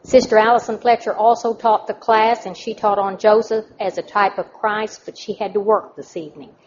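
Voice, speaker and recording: A woman's voice: mid-range voice, fairly even intonation, moderate pace, darkish tone. A woman teacher presenting her children's church class and asking them questions. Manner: plain, announcing, quizzing children. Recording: muffled recording, faint noise.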